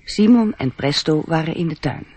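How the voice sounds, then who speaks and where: An actor as the gentle narrator of a fairy tale in a radio play, telling it in storybook style. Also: male voice, fairly high pitch, animated, moderate pace, slightly rough. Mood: lyrical.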